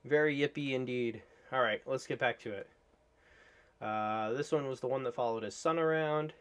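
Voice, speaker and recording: A man's voice: mid-range voice, normal speed, smooth. A man chatting casually as a streamer reacting to videos. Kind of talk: casual livestream chat.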